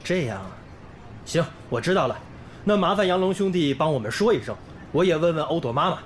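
An actor playing an earnest, idealistic young volunteer teacher in a radio drama, polite and sincere in manner. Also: male voice, on the high side, lively intonation, a little fast, a little hiss or hum, close to an everyday voice. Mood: friendly. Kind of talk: radio drama acting and narration. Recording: music bed under the voice.

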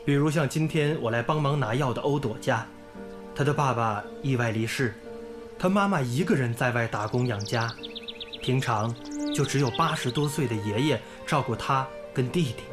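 An actor playing a devoted, earnest young village schoolteacher in a radio drama. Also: male voice, medium pitch, moderate pace, close to an everyday voice. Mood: earnest, polite. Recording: music behind the voice.

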